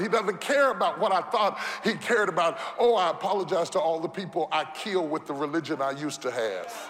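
Preaching man in his fifties, echoing hall. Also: sits high. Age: 50s. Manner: impassioned preaching with repeated phrases and shouted peaks. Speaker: pastor. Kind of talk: sermon. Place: church sanctuary. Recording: long reverb of a large hall.

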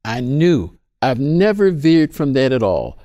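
A man in his sixties, mid-pitched, tells of his calling to the ministry with earnest, measured conviction. The sound is dry, with no room around it. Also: very animated, mellow, no echo. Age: 60s. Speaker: pastor, guest. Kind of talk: interview talk.

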